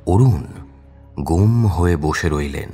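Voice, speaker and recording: A man's voice: low-pitched, expressive, slow, dark tone, soft background music. A man narrating an audio story in a dramatic, scripted storytelling style.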